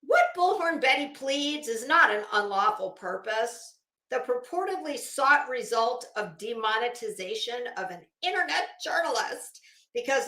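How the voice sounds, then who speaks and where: High-voiced sarcastic woman in an echoey room. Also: fairly bright tone. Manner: sarcastic, taunting, emphatic. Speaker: online commentator reading a legal motion aloud. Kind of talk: dramatic reading with mocking asides.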